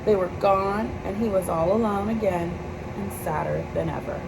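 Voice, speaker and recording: A woman reads a picture book aloud as a storyteller for children. Her medium-pitched voice has a creaky fry to it. A clear layer of background noise sits behind the narration. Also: normal speed.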